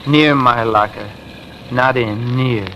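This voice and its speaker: An actor playing an earnest, wounded ex-con husband in a 1950s American radio noir, with urgent, clipped slang. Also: male voice, mid-range voice, slow pace. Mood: hurt, probing. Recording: hissy vintage radio recording.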